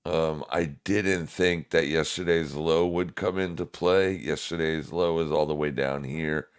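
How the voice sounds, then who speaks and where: A man with a very deep smoker's voice hosts a live trading stream. His delivery is slow and smooth, with clean resonance. He comments casually in a laid-back, slangy way, speaking straight to his viewers. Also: steady tone.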